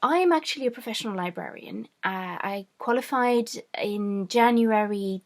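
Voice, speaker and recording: A middle-aged woman from France, born to British parents, speaking casually and a little haltingly as a craft vlog host. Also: mid-range voice. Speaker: host, cross-stitch designer.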